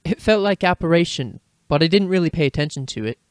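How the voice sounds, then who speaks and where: A man performs expressive storybook family characters in a raised voice. The delivery is theatrical, and the sound is close and dry. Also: on the high side, quick pace, dry recording, close to an everyday voice. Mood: amused. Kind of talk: dramatic reading of fan fiction dialogue.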